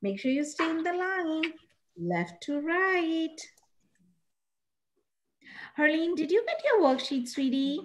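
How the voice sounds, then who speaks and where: Lively high-voiced preschool teacher. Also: female voice, slow pace, pauses often. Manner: animated, sings animal sounds, coaxing children. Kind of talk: online preschool class. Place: classroom-like room with posters, indoors. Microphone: video call.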